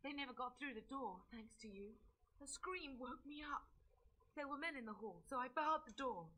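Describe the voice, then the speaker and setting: A woman's voice: high voice, lively intonation, moderate pace, bright, forceful tone, heavily acted. An actress playing an anxious but fierce noble mother in a fantasy video game, speaking with urgent, formal diction. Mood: alarmed, worried. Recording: noisy game audio with clicks.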